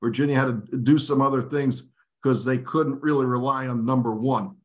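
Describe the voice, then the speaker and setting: Measured middle-aged man analyzing a game. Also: mid-range voice, steady tone. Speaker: host. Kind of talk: postgame sports analysis chat. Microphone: video call webcam.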